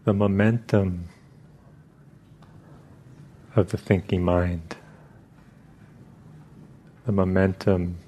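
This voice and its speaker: A man leading a guided meditation as a teacher, speaking in short, halting phrases with long pauses between them. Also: low voice, steady tone, mellow, slight background noise.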